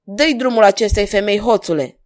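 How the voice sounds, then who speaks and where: A woman's voice: mid-range voice, darkish tone, lightly acted. A woman voicing an arrogant, lustful king in an audiobook, grandiose and commanding. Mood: enraged. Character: arrogant lustful king, imperious and quick to rage.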